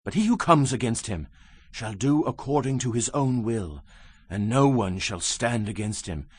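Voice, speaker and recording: A man's voice: medium pitch, expressive, normal speed, slightly rough, dry recording. A man giving a solemn, declamatory dramatized reading of scripture as a Bible narrator.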